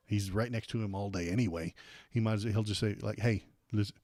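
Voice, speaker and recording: An American man hosting a casual political livestream, rambling and halting with repeated words, irreverent and sarcastic as he chats with viewers. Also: on the low side, steady tone, a little mellow, dry recording, studio quality. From United States. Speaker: livestream host. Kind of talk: casual political commentary, chatting with live viewers.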